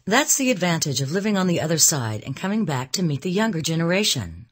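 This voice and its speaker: A woman's voice: sits low, sing-song, fast talker, breathy edge, voice pushed up, exaggerated voice. A woman voicing a vain, proud, middle-aged society widow with dramatic, plaintive delivery in an audiobook. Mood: piqued, wry. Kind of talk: audiobook character dialogue.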